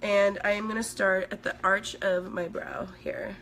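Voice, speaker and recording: A woman in her thirties from Sweden, a makeup artist chatting on a live stream from home with a hoarse, recovering voice while she works and reads out viewer comments. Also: medium pitch, very animated, measured pace, a bit bright. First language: Swedish. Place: indoors at home, small room. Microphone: phone at arm's length.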